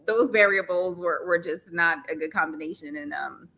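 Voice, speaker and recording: A woman's voice: lowish voice, moderate pace, creaky. A woman in her thirties from Trinidad with a Trinidadian accent, a podcast guest telling stories with frequent laughter. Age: 30s. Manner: laughs often, lively storytelling. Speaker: guest, model agency founder. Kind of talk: podcast interview.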